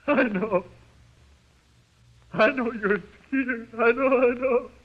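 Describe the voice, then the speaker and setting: A man's voice: very high voice, slow, exaggerated voice. An actor playing a frightened jailed gangster in an old-time radio crime drama, with slangy, ungrammatical tough-guy talk that cracks into pleading, on a muffled old recording. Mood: terrified, pleading. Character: frightened, panicky jailed gangster, afraid of the dark.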